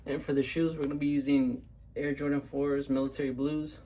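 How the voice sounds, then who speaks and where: Raspy young man with a flat voice. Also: medium pitch, a little fast, rough recording. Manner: laid-back slangy talk to viewers. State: raspy from being sick. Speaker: fashion vlogger. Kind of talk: casual clothing review and styling vlog. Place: at home.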